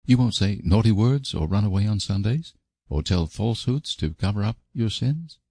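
A man voicing a patient, kindly young woman in a storybook, gently reasoning and soothing as if to a child. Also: fairly low pitch, animated, moderate pace, husky, dry recording, pitched above the performer's own voice. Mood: soothing, firm. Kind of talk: storybook character voice.

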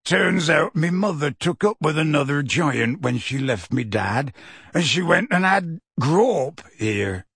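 A man's voice: a little high, lively intonation, gravelly, fairly bright tone, husky, no echo, studio quality, exaggerated voice. Man playing a big, earnest, rustic half-giant. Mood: anxious, confiding. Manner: earnest, halting, rustic dialect grammar. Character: huge, kindly half-giant man, emotional, pleading, rustic. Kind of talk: audiobook character voice.

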